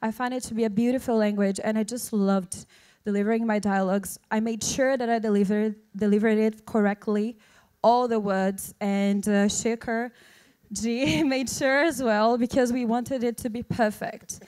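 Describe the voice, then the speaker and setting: A woman's voice: on the high side, fairly even intonation, normal speed, a little mellow, clean tone. A young woman from Brazil whose first language is Portuguese, speaking English with a Portuguese accent at a film launch press event, earnest and often restarting as she searches for words, into a handheld mic. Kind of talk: press conference answers. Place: indoor launch event stage. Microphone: handheld microphone.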